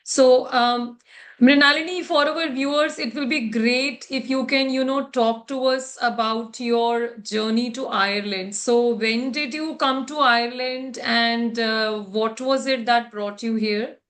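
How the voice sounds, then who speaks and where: A woman hosting a vlog interview, speaking conversationally in halting, hesitant phrases. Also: on the high side, fairly even intonation, fairly bright tone.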